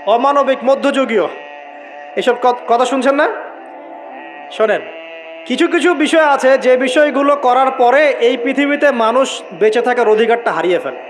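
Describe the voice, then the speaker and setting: A Bangladeshi man preaching an Islamic sermon, emphatic, repeating key phrases and putting rhetorical questions to his listeners. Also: very high-pitched. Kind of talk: waz sermon to an audience. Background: music.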